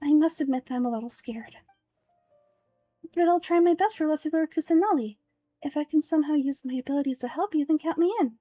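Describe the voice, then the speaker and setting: A woman's voice: sits high, fairly quick, dark tone, dry recording, faint music behind, pitched above the performer's own voice, natural voice. A woman voicing a sweet, eager, girlish young dancer in a game script, earnest and breezy.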